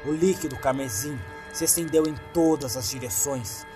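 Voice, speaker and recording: A man's voice: medium pitch, normal speed, over music. A man with a Brazilian accent reads a scripted horror story in a dramatic, suspenseful manner. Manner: suspenseful, acts out the lines.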